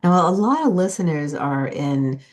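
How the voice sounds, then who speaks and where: A woman's voice: low voice, expressive, moderate pace, a little mellow. A woman hosting an interview show, affirming her guest and building on his points before asking her next question. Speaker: podcast host. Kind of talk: interview conversation.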